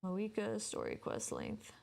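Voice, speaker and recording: A woman's voice: mid-range voice, wildly swooping pitch, unhurried, creaky, darkish tone. A woman giving casual game livestream commentary, informal and thinking aloud. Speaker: game streamer. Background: music.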